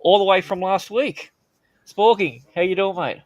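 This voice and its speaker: A man's voice: sits high, moderate pace, dry recording, low-quality recording. An Australian man hosting a casual comedy podcast, rambling with lots of fillers and crude jokes. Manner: rambling, many fillers, crude jokey banter. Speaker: podcast host.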